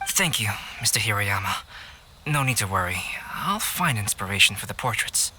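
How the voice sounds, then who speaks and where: A man voicing a composed, scholarly young painter in a video game, speaking in a measured, formal, reflective way. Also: lowish voice, sing-song, noisy background. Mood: reassuring.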